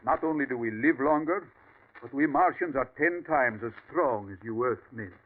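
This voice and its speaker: An actor playing a calm, courteous Martian invader who speaks with cold condescension in an old radio drama. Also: male voice, medium pitch, normal speed, close to an everyday voice. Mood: smooth menace. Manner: suave, courteous, coldly condescending. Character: calm superior male Martian invader posing as ranch host. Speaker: villain. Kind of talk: 1940s radio acting. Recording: tinny old radio broadcast with faint hiss.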